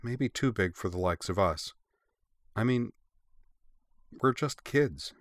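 A man's voice: on the low side, moderate pace, fairly bright tone, no room sound, close to an everyday voice. Man reading as cautious, downcast teenage schoolgirl. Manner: hesitant, subdued. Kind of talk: audiobook character dialogue.

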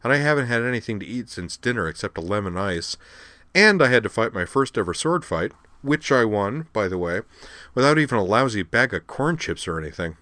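Casting: smug young woman read by a man, brash and teasing, lifted pitch, storybook narration style. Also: sing-song, a little fast, sharp tone, no echo. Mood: breezy, jaunty. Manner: sarcastic, casual slangy talk.

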